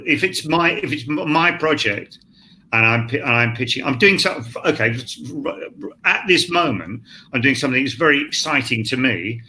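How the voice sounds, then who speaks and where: A man's voice: mid-range voice, a little hiss or hum. A male scriptwriter on an online panel, talking candidly and self-deprecatingly, swearing and acting out his thoughts. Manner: animated, swears, acts out his own thoughts. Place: online panel, home set-up. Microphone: video call.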